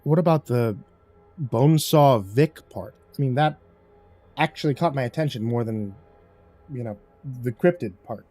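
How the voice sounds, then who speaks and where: A man's voice: medium pitch, lively intonation, normal speed, dark tone, soft background music, natural voice. A man voicing an awkward, easily cowed young man with a hesitant, earnest way of talking in a narrated story. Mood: careful.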